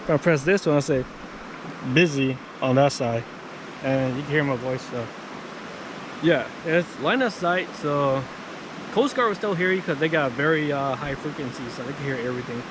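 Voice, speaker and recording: A man giving an informal, unscripted how-to in a halting, casual way, often repeating himself. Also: a little high. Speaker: vlogger demonstrating handheld radios. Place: outdoors near water. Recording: noisy outdoor wind and water ambience.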